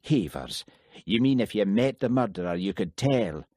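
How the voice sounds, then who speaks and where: A wry young Highland policeman with a dry, matter-of-fact way of speaking, read by a male narrator close to his own voice. The tone is deep and husky, recorded dry and close. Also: expressive, moderate pace, warm tone, no echo. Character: thoughtful Highland village policeman, young man.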